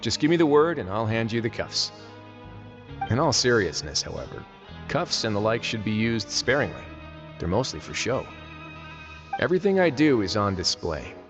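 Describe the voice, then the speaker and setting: An actor playing a composed, wry, authoritative duke and warden in a video game, speaking in a measured, self-assured way. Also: male voice, lowish voice, sing-song. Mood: wry, calm. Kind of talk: video game character voice acting. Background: game music.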